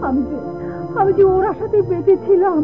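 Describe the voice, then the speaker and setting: Radio melodrama: anguished, tearful young wife in denial, extremely high pitch, Bangladeshi accent, music beneath. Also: female voice, a little fast. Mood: anguished, pleading. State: distraught, tearful. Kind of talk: Bengali radio melodrama acting. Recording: narrow-band old radio recording with music.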